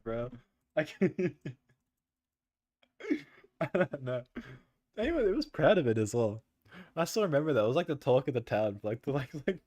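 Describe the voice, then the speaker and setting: A young man streaming and chatting loosely with viewers, rambling with lots of "like" and "bro" and frequent laughter, in a soft voice. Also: a little high, no echo. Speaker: livestreamer. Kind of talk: casual chat with stream viewers.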